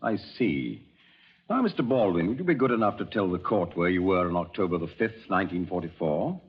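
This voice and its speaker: Muffled old radio drama, a stiff formal court lawyer, low husky voice, asking questions. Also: male voice, sing-song, a little fast, exaggerated voice. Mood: stern, probing. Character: formal, probing male courtroom examiner. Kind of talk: 1940s radio acting.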